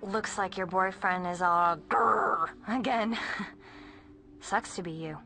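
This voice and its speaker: A woman's voice: mid-range voice, slow, gravelly, bright, forceful tone, faint music behind, heavily acted. A woman playing a snobbish, impatient young rich girl in a video game, with a snide, blunt delivery. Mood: mocking. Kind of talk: video game cutscene acting.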